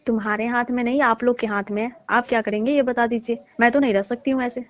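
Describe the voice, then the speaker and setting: A young newlywed daughter-in-law, played by a woman in a phone-call drama. She complains insistently in everyday speech, feeling wronged. The sound is dry and dull, like low-grade call audio. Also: fairly even intonation, quick pace, no echo, natural voice. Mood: complaining, insistent.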